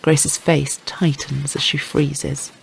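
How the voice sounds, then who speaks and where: A man's voice: a little high, little pitch movement, slow pace, gravelly, a little hiss or hum, poor audio. A man narrating scripted crime fiction as an audiobook, with wry, literary storytelling.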